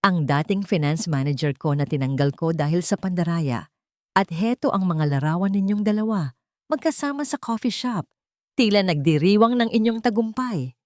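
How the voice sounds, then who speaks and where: A woman's voice: on the low side, normal speed, no echo, close to an everyday voice. A woman narrator playing a betrayed wealthy husband in a romantic drama story. He is cold and controlled, with a stern, authoritative manner. The recording is dry. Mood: cutting. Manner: calm, sharp, authoritative.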